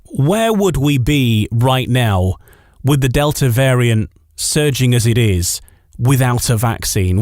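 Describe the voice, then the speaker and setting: A man hosts a radio show in a presenter's style, linking segments and putting questions to a guest. His voice is of average pitch. The sound is dry and close, with no room echo. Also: a little mellow. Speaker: radio host and interviewer.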